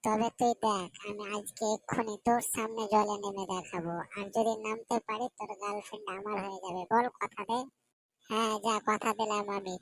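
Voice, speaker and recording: A cheeky village boy from a comic cartoon, voiced by a woman, bickering in colloquial speech. The pitch sits in the middle, and the manner is boastful and taunting, like a childhood friend who loves to argue. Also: close to an everyday voice, poor audio.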